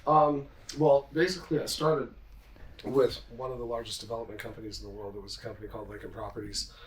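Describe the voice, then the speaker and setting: A man's voice: mid-range voice, steady tone, fast, poor audio. A middle-aged man giving casual, self-assured, informal answers as a podcast guest, with some room noise behind him. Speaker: podcast guest, commercial real estate entrepreneur. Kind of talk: casual interview talk.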